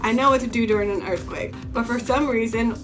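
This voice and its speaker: A woman's voice: a little high, brisk. A young woman from California's Bay Area, a beauty vlogger, chatting through a story-time video and often repeating herself. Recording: music playing underneath.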